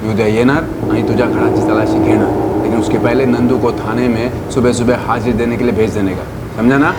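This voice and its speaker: A man playing a gruff, commanding police officer in a TV crime drama. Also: medium pitch, steady tone, fairly quick, heavy background noise, lightly acted. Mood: stern, threatening. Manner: curt, commanding. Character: gruff, threatening male police officer, curt and accusing. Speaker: actor in a TV crime series. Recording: loud dramatic background music.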